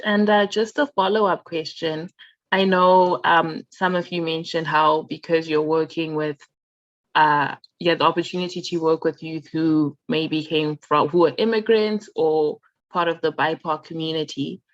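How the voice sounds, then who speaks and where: A woman moderates a panel over a video call, politely thanking each speaker before asking the next question. Her voice is a little low. The audio is dull and dry, like lo-fi call sound. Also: fairly even intonation, moderate pace, no room sound.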